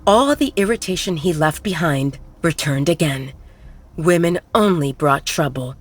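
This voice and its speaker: A woman's voice: sits low, expressive, a little slow. A woman narrating a werewolf romance audio drama in a scripted storytelling style.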